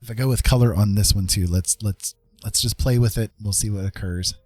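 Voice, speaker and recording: A man chatting casually on an art livestream, thinking aloud in a rambling, halting way as he works. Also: lowish voice, darkish tone, dry recording. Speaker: livestream host, digital artist. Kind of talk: casual chat while drawing on a livestream. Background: background music.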